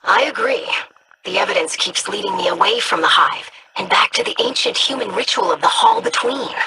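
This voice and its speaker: An actress voicing a studious female AI in a video game, speaking in measured, theorizing phrases. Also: medium pitch, very animated, sharp tone, a little hiss or hum, rough recording. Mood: curious.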